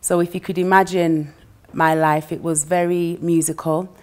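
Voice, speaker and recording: A woman's voice: on the low side, flat delivery, normal speed. A woman from England, chatting as a guest musician and laughing easily between phrases. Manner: warm, chatty, laughs between phrases, uses "you know" a lot. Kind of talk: relaxed interview chat.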